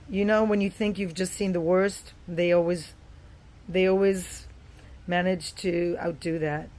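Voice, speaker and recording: A woman's voice: fairly low pitch, darkish tone. Woman vlogging with emphatic repetition. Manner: emphatic, repeats words for stress. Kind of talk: opinion vlog.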